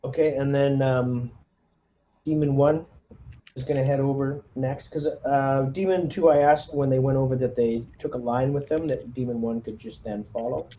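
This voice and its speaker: A man with a very mellow, mid-pitched voice plays a commander at a gaming table. He speaks hesitantly and politely, giving casual orders over faint background noise. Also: fairly even intonation, moderate pace, low-quality recording. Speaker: tabletop player acting as squad commander. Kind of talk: casual in-game radio orders and table talk.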